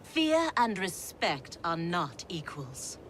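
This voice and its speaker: An actress voicing a calm, principled female warrior who speaks in a measured, reproachful way in a video game cutscene. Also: on the low side, a little hiss or hum. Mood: firm.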